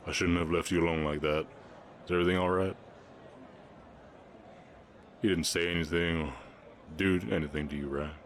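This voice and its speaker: A man playing a kind, protective, shy orc ranch hand with a Southern US accent, speaking gently and plainly in an intimate roleplay. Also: sits low, fairly even intonation, normal speed, long pauses, a little hiss or hum, close to an everyday voice. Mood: tender, hesitant. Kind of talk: intimate ASMR romance roleplay.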